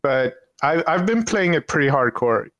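A man from Sweden with a Swedish accent, chatting slowly and hesitantly about games in a casual podcast. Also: a little high, sing-song, fairly bright tone, no echo, dull audio. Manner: slow, hesitant, with many ums and restarts. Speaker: streamer and podcast guest. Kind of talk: casual chat.

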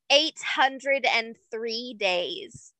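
A young woman co-hosting a casual chat, explaining things slowly with fillers and small laughs. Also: a little high, bright, forceful tone, no room sound, rough recording. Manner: unhurried, explains facts with frequent fillers and laughs. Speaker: podcast co-host.

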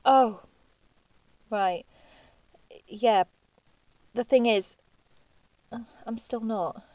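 A woman's voice: a little high, drawn-out words, a little mellow. A woman with an English accent playing a defiant, sardonic young woman in an audio drama, blunt and dry in manner. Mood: awkward, guarded. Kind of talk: naturalistic audio drama acting.

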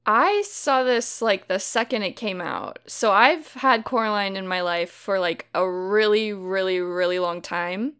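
Excited giggly woman cohost, lotta likes and ums, smooth clear voice. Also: medium pitch, unhurried, few pauses. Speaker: podcast co-host. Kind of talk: casual film podcast chat.

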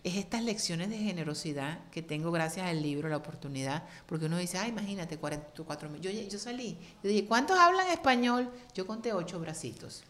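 A middle-aged woman from Colombia speaks as a guest in a relaxed interview. She tells her story with animated expression and changing intonation, acting out what others said. Her voice sits a little lower than most women's. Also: brisk, few pauses. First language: Spanish. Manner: lively, expressive, acts out quoted voices.

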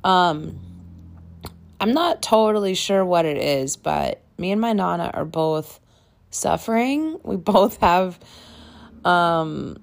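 A woman hosting her own podcast in a casual, rambling way, her voice raspy from allergies. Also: fairly low pitch, expressive, slow. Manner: rambling, chatty, with frequent fillers and self-interruptions. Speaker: podcast host and tarot reader. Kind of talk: casual solo podcast rant.

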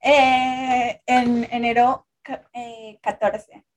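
A woman's voice: a little high, flat delivery, drawn-out words. A woman of twenty-one from Colombia, a guest on a podcast, chatting in a playful, teasing way. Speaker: interview guest, content creator. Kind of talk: casual podcast chat.

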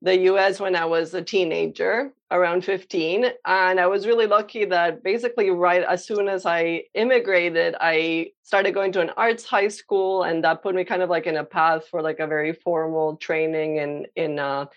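Woman painter from colombia, lowish voice, talks it through with lots of likes and ums, kinda dull lo-fi sound. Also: fairly even intonation, normal speed, hardly any pauses. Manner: thoughtful, many fillers and restarts. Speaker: painter, interviewee. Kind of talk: reflective conversational interview.

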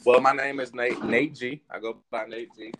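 A man's voice: mid-range voice, moderate pace, background noise. A man in his thirties from Washington, D.C., a hip hop artist talking casually as a podcast guest. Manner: relaxed, rambling, many fillers. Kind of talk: casual interview chat.

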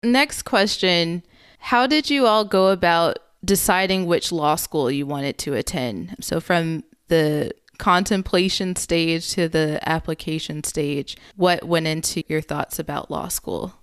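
Lower-voiced woman hosting a podcast. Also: unhurried, clean tone. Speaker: podcast host. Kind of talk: podcast intro and group interview.

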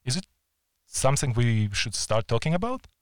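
Lively male podcast host. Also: medium pitch, moderate pace, no room sound. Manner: lively, careful non-native phrasing. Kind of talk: podcast interview.

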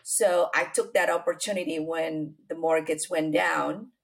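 A middle-aged woman from Indonesia with an Indonesian accent, telling her life story as an interview guest, unhurried and full of fillers. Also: sits low, steady tone, vocal fry, low-quality recording. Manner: unhurried, many fillers and restarts, non-native grammar.

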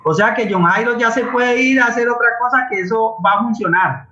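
High-pitched, bright-voiced Colombian man. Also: a little fast, echoey room, muffled recording, slight background noise. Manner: earnest, emphatic, repeats phrases for emphasis. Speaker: playwright and community theatre leader, interview guest. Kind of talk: impassioned conversational talk in a radio forum.

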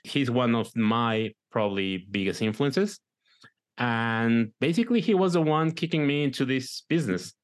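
A man's voice: mid-range voice, lively intonation, moderate pace. A middle-aged man from Mexico with a Mexican Spanish accent, a guest talking through his career, often repeating words as he searches for them. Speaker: guest, director and producer. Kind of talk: casual interview talk.

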